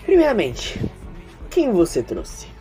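A woman acts out an indignant teenage schoolboy in an amateur audio drama. The voice sits low and is soft-edged and mellow, with a mocking, argumentative bite. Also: wildly swooping pitch, takes it slowly, faint music behind, heavily acted.

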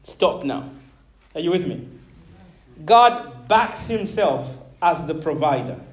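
Pastor, man in his thirties, from Zambia; emphatic sermon delivery, high for a man. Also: fairly bright tone. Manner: emphatic, calls out to the congregation; repeats words, laughs between points.

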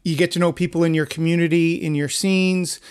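A man's voice: on the high side, fairly even intonation, fairly quick, clean tone. A man hosting a podcast interview, asking questions in a casual, conversational style. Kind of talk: casual interview chat with profanity.

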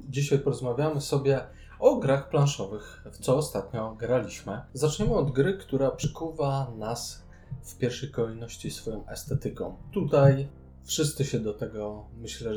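A man's voice: medium pitch, mellow. A man chatting casually about board games as a podcast co-host. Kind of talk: casual board game discussion.

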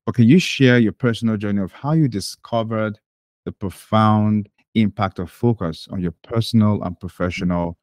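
A man's voice: lowish voice, normal speed, a little mellow, no echo, clean studio sound. A man hosting a podcast, introducing and questioning a guest in a formal manner. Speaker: podcast host.

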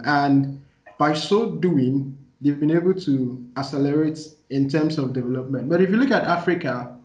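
A man's voice: on the high side, a little mellow. Nigerian man explaining in an echoey room. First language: Igbo. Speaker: interviewee, development practitioner. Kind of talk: thoughtful interview answer. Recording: echoey room, muffled lo-fi sound.

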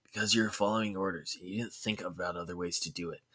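A man voicing a lazy, analytical teenage ninja boy, matter-of-fact and unhurried, in a story reading. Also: sits low, fairly even intonation, sharp tone.

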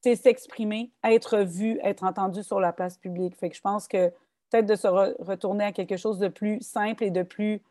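Québécoise woman speaking softly, mellow voice. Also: mid-range voice, normal speed, no echo, studio quality. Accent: Québécois French. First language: French. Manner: thoughtful, animated, many restarts and fillers. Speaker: guest author and poetry workshop leader. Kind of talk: casual conversational interview.

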